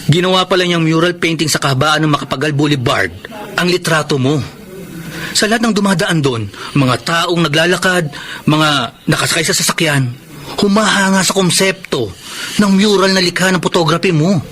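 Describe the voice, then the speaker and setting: Loyal young male friend played by a man, Filipino accent, fairly high voice, eager and persuasive radio drama read, faintly noisy recording. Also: moderate pace, a bit bright, close to an everyday voice. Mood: earnest, encouraging. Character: Baron, earnest, encouraging young male friend of a prisoner.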